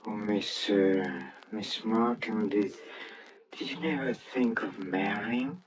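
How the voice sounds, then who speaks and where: Vintage radio drama professor: timid, kindly, middle-aged, hesitant, very slow delivery, audience noise behind. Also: male voice, on the low side, a crowd in the background, rough recording. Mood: flustered. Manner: hesitant, halting, bashful. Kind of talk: old-time radio drama acting.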